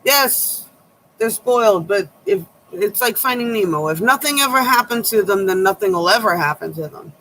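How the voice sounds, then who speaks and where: A woman tarot reader with a low voice, giving blunt, sharp-tongued advice in a casual chat to her viewers. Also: lively intonation, moderate pace, a little hiss or hum, poor audio.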